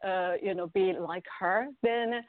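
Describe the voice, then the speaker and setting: A woman's voice: medium pitch, unhurried, dry recording. A Japanese woman in her fifties, a singer being interviewed, speaking English with a Japanese accent and many fillers. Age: 50s. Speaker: guest singer. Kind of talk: interview conversation. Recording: tinny phone-like audio, dry.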